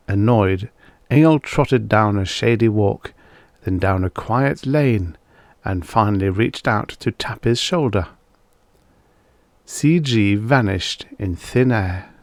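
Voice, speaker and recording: Slow, low-voiced man narrating a story. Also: a little mellow, dry recording. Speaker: audiobook narrator.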